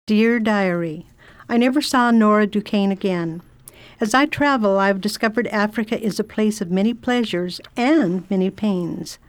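A woman playing a self-assured, kindly lady traveller in radio theatre, speaking in a formal, deliberate and imperious way. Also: medium pitch, natural voice. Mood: reflective. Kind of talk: radio theatre acting and diary narration.

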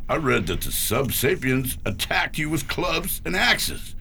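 A man's voice: medium pitch, very animated, measured pace, gravelly, bright, forceful tone, a little hiss or hum, full character voice. A man playing a dismissive space exploration bureau chief in a science fiction radio drama, emphatic and official. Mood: brisk.

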